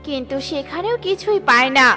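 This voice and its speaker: A woman's voice: high-pitched, expressive, fairly quick, bright, forceful tone, soft background music. A woman narrating a scripted children's story.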